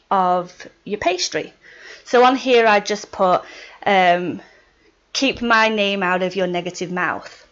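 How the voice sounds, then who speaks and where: A woman's voice: mid-range voice, a bit bright. A woman from Yorkshire with a Yorkshire accent, explaining a spell in a casual how-to vlog, chatty and often laughing between points. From Yorkshire, England. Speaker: witchcraft vlogger. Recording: slightly dull lo-fi sound.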